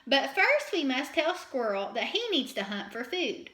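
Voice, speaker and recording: A woman's voice: sits high, sing-song, unhurried, pushed and bright, exaggerated voice. Storybook porcupine, male, read by a woman: sleepy, sad, resigned, in an echoey room. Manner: resigned, snuffling.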